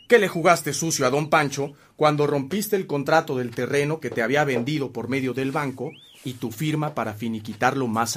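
An actor playing a priest's loyal, concerned brother in a radio drama, speaking earnestly. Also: male voice, mid-range voice, close to an everyday voice.

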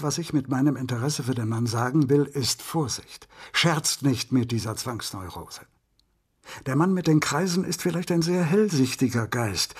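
An actor playing a pedantic, cautionary psychiatrist, lecturing emphatically with a husky voice in a radio drama. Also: male voice, medium pitch. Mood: cautioning. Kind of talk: radio crime drama dialogue.

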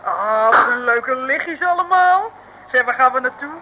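A woman's voice: sits high, expressive, measured pace, phone-quality sound. An actress playing a chirpy, naive female detective's sidekick in an old comic radio serial. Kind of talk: 1950s-60s comic radio serial acting. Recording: thin, faintly noisy old radio recording.

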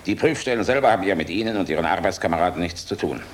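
Weary older-school general in a radio drama, deep voice, frank and dry, bit of noise. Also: male voice, lively intonation. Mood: earnest, grave. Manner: measured, frank, dryly ironic. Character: weary, blunt, decent German air force general. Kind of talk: German radio play acting. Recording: noisy radio play recording.

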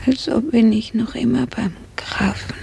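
A frail, slow, breathy young serf. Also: female voice, fairly high pitch, fairly even intonation, mellow. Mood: dazed, weak. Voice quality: breathy, often whispering. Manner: slow, faint, halting. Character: frail, dazed young serf woman, grieving and lovelorn. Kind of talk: 1950s German radio drama acting. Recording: noisy 1950s radio recording.

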